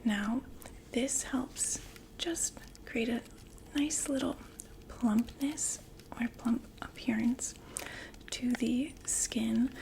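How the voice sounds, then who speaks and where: A young woman playing a female vampire door-to-door makeup saleswoman in an ASMR roleplay, whispering slow, gentle, chatty sales talk. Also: a little high, creaky, heavily acted, rough recording. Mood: soft, soothing.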